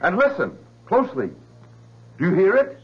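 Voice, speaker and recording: Obsessed scientist in old radio drama, husky, swings all over, dull recording. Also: male voice, sits high, wildly swooping pitch, moderate pace, exaggerated voice. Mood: urgent, commanding. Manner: insistent, emphatic, dramatic. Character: agitated, obsessive male scientist convinced machines conspire against him. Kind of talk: 1970s radio drama acting.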